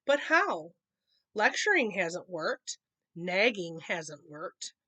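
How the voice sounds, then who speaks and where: A woman's voice: on the low side, very animated, slow, pushed and bright, dry recording, heavily acted. A woman voicing a kindly, worried mother bear in a children's storybook, earnest and coaxing.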